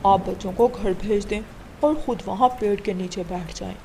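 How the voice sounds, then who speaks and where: A woman's voice: medium pitch, moderate pace, natural voice. A woman voicing an adult male cartoon bird who talks in a plain, matter-of-fact way. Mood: defensive. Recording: loud rain and thunderstorm noise behind.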